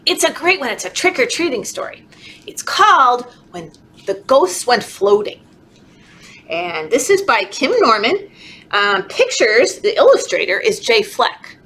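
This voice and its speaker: A woman leading a children's story time, reading aloud in an animated, encouraging, sing-song way, as if talking to toddlers. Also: on the high side. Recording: clearly noisy background.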